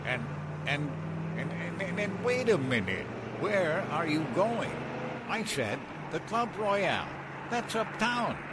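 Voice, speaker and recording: A male actor plays a formal, haughty gentleman cab passenger in old-time radio style. His fairly high voice moves slowly and deliberately, with loud vehicle noise behind it. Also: sing-song, exaggerated voice. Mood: alarmed, indignant. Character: well-to-do man about town, a nervous taxi passenger.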